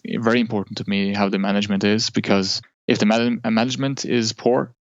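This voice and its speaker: A man from Norway talks as an interview guest, with a lowish, gravelly voice and frequent fillers and restarts. The sound is dull and muffled. The recording is close and dry. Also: steady tone, brisk, no room sound. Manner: hesitant, many fillers and restarts. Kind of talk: casual interview talk.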